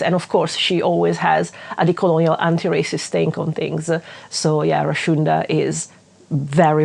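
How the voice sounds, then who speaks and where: A woman's voice: low voice, vocal fry, a little mellow. A woman from Northwest Italy with an Italian accent, chatting enthusiastically as she recommends other creators. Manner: enthusiastic, gushing, with frequent fillers and restarts. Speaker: witchcraft channel host. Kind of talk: casual recommendation vlog.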